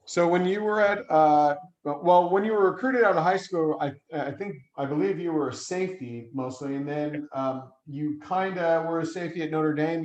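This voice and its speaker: A man's voice: a little high, a little mellow, reverberant, highs cut off. A male reporter asking casual questions at a press conference, hesitating with fillers.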